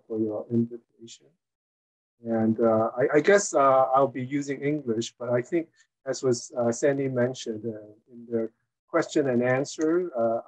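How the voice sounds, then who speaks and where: Slow, measured older man. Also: medium pitch, darkish tone, low-quality recording. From Hong Kong. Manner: measured, with frequent "uh" pauses. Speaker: guest, former mayor and retired college teacher. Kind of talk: interview answer, recounting his career.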